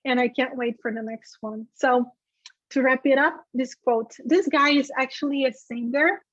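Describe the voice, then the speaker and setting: Female webinar presenter, native Portuguese speaker. High voice, informal and emphatic teaching style, lo-fi sound. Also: steady tone, moderate pace, no echo. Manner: conversational, emphatic, checks in with "okay?" and "right?". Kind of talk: informal instructional webinar talk. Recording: dull, lo-fi webinar audio.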